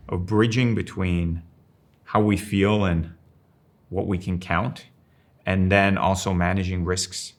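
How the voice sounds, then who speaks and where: A man's voice: low voice, creaky, a bit bright. A man in his sixties from Finland, raised in Italy, speaking reflectively in an interview and explaining ideas thoughtfully. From Finland, raised in Rome, Italy. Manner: thoughtful, explanatory, poses rhetorical questions. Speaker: strategic designer and professor of practice.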